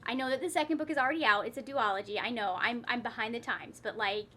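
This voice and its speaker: High, bright-voiced woman chatting as a book vlogger. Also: fast, a little hiss or hum. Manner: self-interrupting, wry asides to herself. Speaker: book vlogger, host of her own channel. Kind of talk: casual, chatty talk to viewers.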